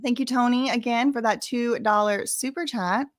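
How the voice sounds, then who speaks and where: A woman's voice: a little high, steady tone, moderate pace, dry recording. An American woman hosting a casual live stream, chatting with viewers in a colloquial, sassy way. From Louisiana, United States. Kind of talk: casual live chat reading and answering viewer messages.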